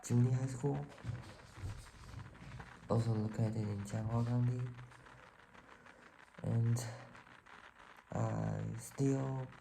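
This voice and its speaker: A man from Taiwan with a Taiwanese accent tells a personal story casually and haltingly, often searching for words. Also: mid-range voice, steady tone, creaky, dark tone, a little hiss or hum, poor audio. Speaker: vlogger telling own life story.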